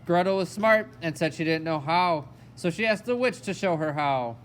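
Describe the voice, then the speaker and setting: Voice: mid-range voice, expressive, moderate pace. A narrator telling a fairy tale in a storytelling style for a children's puppet show.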